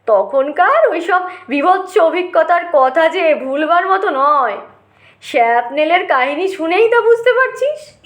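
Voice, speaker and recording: A woman's voice: sits high, roomy sound. A woman voicing a boastful, touchy uncle who tells tall war tales in a blustering storyteller's way. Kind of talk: acted audiobook storytelling.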